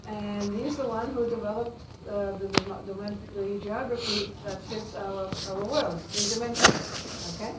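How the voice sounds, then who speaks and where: A woman's voice: mid-range voice, fairly even intonation, reverberant. Older Austrian woman, distant in a noisy room. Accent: Austrian German. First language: German. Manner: halting, many fillers and restarts. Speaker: guest speaker to students. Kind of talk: classroom talk.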